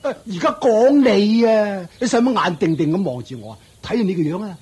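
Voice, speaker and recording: A man's voice: high-pitched, animated, darkish tone, slightly rough, full character voice. A man with a Hong Kong Cantonese accent playing a scornful, nagging uncle who runs a shop, mocking and belittling in a radio drama. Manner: mocking, belittling, drawn-out taunts.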